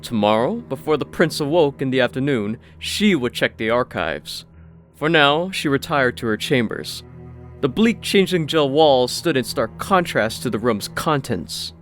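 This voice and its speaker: A man narrating a scripted fantasy story, expressive, with wry asides. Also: mid-range voice, moderate pace. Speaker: audiobook narrator. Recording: faint music behind the voice.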